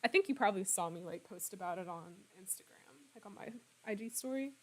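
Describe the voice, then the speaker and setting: A woman in her twenties, slightly hoarse, chatting casually and laughing often as she streams a game and answers her viewers. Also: mid-range voice, animated, quick pace, darkish tone, a little hiss or hum. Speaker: streamer playing a horror game. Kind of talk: casual live-stream chat, reading out viewer comments.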